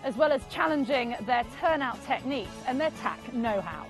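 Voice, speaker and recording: A woman's voice: high-pitched, lively intonation, moderate pace, faint music behind, poor audio. Female VO, upbeat and teasing, reality competition narration. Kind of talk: reality show voice-over.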